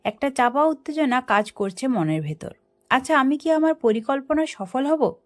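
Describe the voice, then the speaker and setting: High-pitched woman narrating a story. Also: expressive, normal speed. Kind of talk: scripted dramatic narration.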